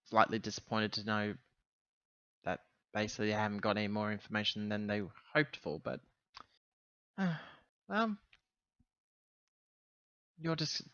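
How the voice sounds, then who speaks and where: Bright-voiced man playing a tabletop game. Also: on the low side, no room sound, highs cut off. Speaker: player in a tabletop roleplaying game. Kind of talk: casual tabletop roleplay talk.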